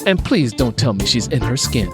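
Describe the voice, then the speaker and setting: An audiobook narrator voicing a feisty, persistent young woman, snippy, bold and sharp-tongued. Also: mid-range voice, big pitch swings, moderate pace, music in the background. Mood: insistent. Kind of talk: audiobook character dialogue.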